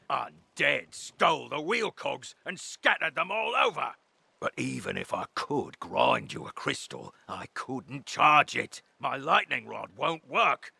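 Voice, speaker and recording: An actor playing a gruff, put-upon mill worker in a fantasy game, blunt and gravelly. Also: male voice, mid-range voice, bright, forceful tone, a little hiss or hum, full character voice. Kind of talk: fantasy game character dialogue.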